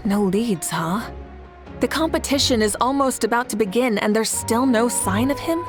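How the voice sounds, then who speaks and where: Woman doing composed game host lady, kinda high voice, in charge, music behind. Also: measured pace, natural voice. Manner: composed, rousing. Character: commanding woman tournament host. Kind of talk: video game voice acting.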